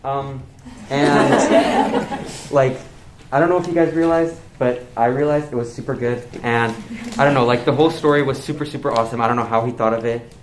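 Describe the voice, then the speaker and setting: A man's voice: mid-range voice, steady tone, moderate pace. A young man giving a casual thank-you speech in a noisy, echoey room.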